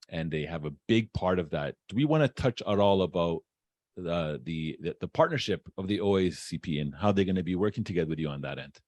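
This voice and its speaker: A man's voice: low voice, a little mellow, no echo. A man hosting an interview show in an effusive way, repeating words for emphasis. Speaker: podcast host.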